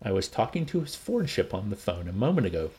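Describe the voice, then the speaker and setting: A man's voice: medium pitch, very animated, fairly quick, slightly rough, pitched above the performer's own voice. A man voicing a pompous, patronizing young man from a novel who boasts of his connections. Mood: boastful. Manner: pompous, emphatic.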